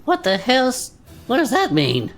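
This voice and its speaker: A woman's voice: a little high, wildly swooping pitch, unhurried, over music, exaggerated voice. A woman playing a brash, folksy, sarcastic adventurer in an improvised audio drama, scolding with colorful turns of phrase. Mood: accusing. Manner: colorful insults, earnest and scolding.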